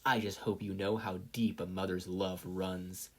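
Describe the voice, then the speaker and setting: A narrator voicing a teenage girl inventor in a quiet, cold, desolate manner, full of menace. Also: low voice, little pitch movement, measured pace, pitched below the performer's own voice. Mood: cold rage.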